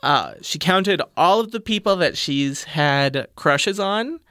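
A man's voice: fairly high pitch, slow pace, dry recording, studio quality. A young man with a slight lisp, talking earnestly and expressively in a casual panel discussion. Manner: expressive, animated, explaining earnestly. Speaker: panel guest.

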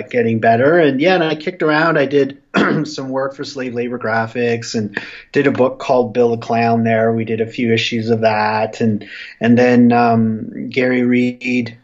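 Stuffy man, 40s, from western Canada, relaxed interview guest, middle pitch, muffled lo-fi audio. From Canada (Alberta). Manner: relaxed, rambling with fillers and restarts. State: stuffy from a flu. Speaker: guest, comic artist. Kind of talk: casual interview chat.

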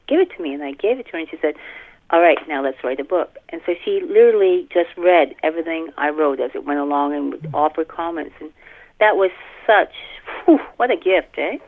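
A woman chatting casually as an interview guest, exclamatory and colloquial, repeating phrases for emphasis. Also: fairly low pitch. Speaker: guest author. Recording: muffled phone or radio-like line.

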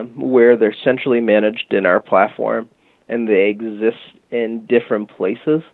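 A man's voice: lowish voice, no echo. A man interviewed as a guest, explaining his company's product in casual talk, full of "you know" and "um". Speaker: guest from a music marketing company. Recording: tinny phone-line call.

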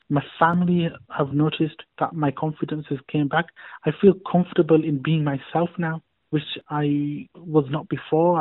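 A man giving a sincere, deliberate testimonial. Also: on the high side, no room sound. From Yorkshire, England. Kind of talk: recorded testimonial. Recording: tinny phone-like audio.

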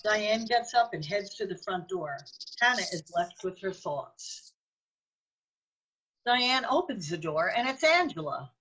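A woman's voice: medium pitch, big pitch swings, unhurried, a bit bright, slightly rough, muffled recording. A woman reading scripted scene narration as the narrator of a radio drama.

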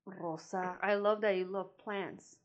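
A woman's voice: mid-range voice, steady tone, takes it slowly, dull audio. A young woman with a Mexican accent co-hosting a podcast in casual chat, laughing often and swearing freely. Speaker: podcast co-host.

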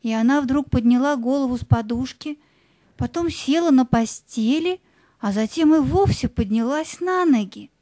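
Female narrator, high soft mellow voice, expressive storybook reading, dry close recording. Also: normal speed, no room sound.

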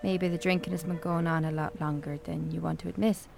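A woman's voice: deep voice, flat delivery, fairly quick, gravelly, a little mellow. An actress playing a frank, concerned small-town Irish woman, blunt and earnest, in naturalistic radio drama.

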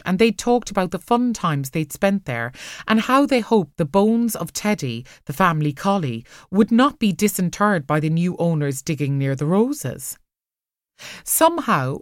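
Expressive low-voiced woman narrator. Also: no room sound, clean studio sound. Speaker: audiobook narrator. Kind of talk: scripted storytelling narration of fiction.

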